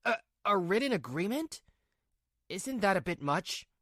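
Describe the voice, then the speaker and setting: A woman's voice: medium pitch, big pitch swings, measured pace, dry recording, studio quality. A woman voicing a weak-willed, two-faced young husband in a manga drama, with a pleading, hesitant delivery. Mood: eager, nervous.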